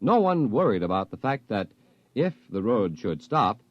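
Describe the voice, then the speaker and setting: A man's voice: fairly low pitch, very animated, normal speed, no echo. A man reading scripted promotional narration in an emphatic, dramatic 1950s radio style. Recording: dull lo-fi old radio recording.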